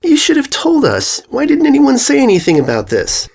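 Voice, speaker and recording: A man voicing a frightened, tearful young girl pony in a storybook reading, squeaky and whimpering, with a hesitant, pleading, childlike manner. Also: wildly swooping pitch, moderate pace, gravelly, a little mellow, full character voice. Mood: upset, indignant.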